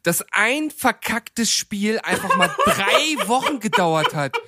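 A man chatting casually on a podcast, making his case in halting, repeated phrases. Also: high-pitched, very animated, very noisy. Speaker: podcast speaker. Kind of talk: casual group chat.

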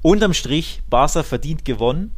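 A man chatting casually as a football podcast co-host, feeling his way through measured opinions and repeating himself. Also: a little high, expressive.

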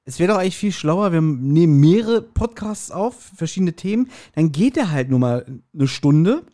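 A man's voice: fairly high pitch, expressive, normal speed, mellow. A middle-aged man, co-hosting a podcast in casual conversation. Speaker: podcast co-host. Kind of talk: casual chat.

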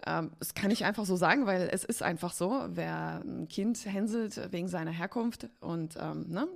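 A woman's voice: lowish voice, moderate pace, gravelly. A woman from Poland and eastern Germany, a guest in a casual podcast chat, talking candidly and laughing often. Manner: chatty, candid, laughs often, many fillers. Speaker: podcast guest, agency co-founder.

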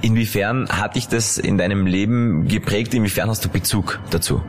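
Low-voiced male radio interviewer. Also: fairly even intonation, normal speed. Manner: friendly, direct questions. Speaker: radio host.

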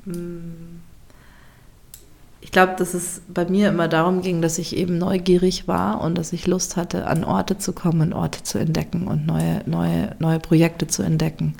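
A woman chef in a casual interview, speaking reflectively and often pausing to search for words. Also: on the low side, level tone, creaky, a little mellow. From Germany.

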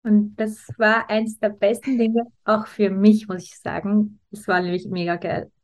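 A woman's voice: mid-range voice, flat delivery, unhurried, a little mellow. A woman in her thirties speaking as a podcast host, introducing and interviewing. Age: 30s.

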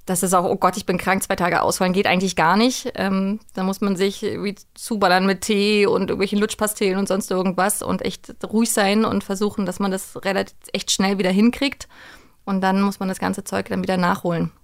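Woman, middle-aged, very fast pace, gravelly edge, talkative interview guest. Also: mid-range voice, level tone. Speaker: guest, voice actress and dubbing director. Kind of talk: casual interview chat, telling work anecdotes.

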